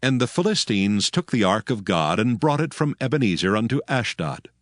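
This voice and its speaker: A man narrating scripture from a script in a solemn, measured and formal way. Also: lowish voice, very animated, dry recording. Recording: music bed behind the voice.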